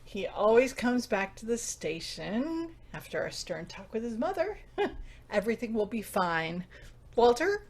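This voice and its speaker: Radio play manager for an actress: woman, animated and high, brisk, determined to reassure. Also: slightly rough, exaggerated voice. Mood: forced cheer. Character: Eleanor, upbeat station manager smoothing over trouble. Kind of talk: radio drama acting.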